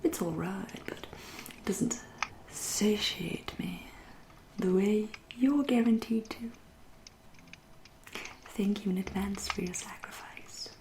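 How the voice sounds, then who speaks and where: Woman as a soft, whispery English vampire lady. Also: medium pitch, echoey room, low-quality recording. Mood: quietly menacing. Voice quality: soft, breathy, often whispery. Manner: genteel, deliberate, drawn-out phrasing with dry irony. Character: centuries-old aristocratic vampire woman, politely menacing, wryly proud. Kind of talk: ASMR roleplay monologue.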